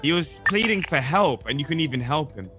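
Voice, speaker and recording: A man's voice: fairly high pitch, animated, fairly quick, over loud music. A man roleplaying a police officer in an online game, taunting and cursing at a suspect. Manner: taunting, profane, bullying.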